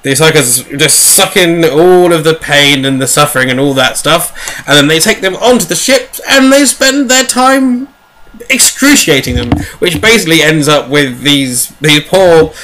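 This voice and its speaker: A man with a bright, forward voice sitting a little high in pitch. He talks as a podcast host giving a casual recap. His delivery rambles and is sprinkled with dry, jokey asides. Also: lively intonation, moderate pace, a little hiss or hum, rough recording. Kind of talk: casual chatty book recap.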